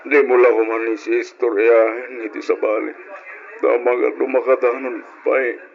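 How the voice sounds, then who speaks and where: Gravelly troubled husband in radio drama played by a man. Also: medium pitch, flat delivery, moderate pace, close to an everyday voice. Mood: anguished, bitter. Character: troubled, aggrieved husband and father confiding his heartache. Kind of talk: Ilocano radio drama acting. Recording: dull, noisy old radio recording.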